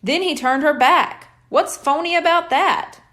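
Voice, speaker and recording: Woman playing an excitable, high-voiced young boy. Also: unhurried. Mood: eager. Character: excitable young twin boy, cheeky and eager.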